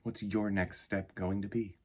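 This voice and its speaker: A man hosting a children's storytime, reading aloud and talking to young listeners in an earnest, emphatic, deliberate way. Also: on the low side, level tone, darkish tone, a little hiss or hum. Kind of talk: expressive storybook read-aloud and talk to children.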